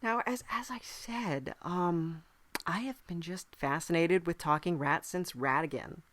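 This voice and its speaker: Comedy podcast host from Hell, female performer: slightly low, halting, wry deadpan storyteller, dry close sound. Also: no echo. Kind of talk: improvised in-character comedy storytelling.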